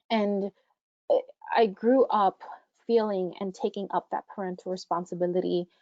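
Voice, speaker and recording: A woman's voice: medium pitch, darkish tone, no room sound. A young woman college student whose first language is Spanish, speaking earnestly and emphatically about her experience on a panel over a video call. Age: young adult. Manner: earnest, emphatic, building conviction. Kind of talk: personal testimony on a panel. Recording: muffled dry online audio.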